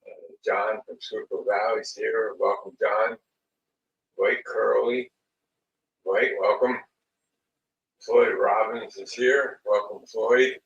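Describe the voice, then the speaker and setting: A man with a slightly raspy voice hosting a casual livestream in a halting, unhurried manner. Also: lowish voice, flat delivery, fairly bright tone, slight background noise. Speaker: livestream host. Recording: muffled lo-fi audio, distant mic.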